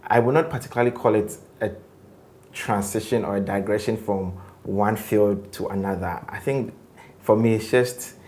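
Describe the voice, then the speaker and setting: Man in his interview chair, a lowish voice, unhurried and thoughtful, lots of "um" and "like", faint hiss under him. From Ghana. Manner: relaxed, reflective, with frequent fillers like "um" and "like". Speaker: guest, tech company co-founder. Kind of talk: casual interview conversation.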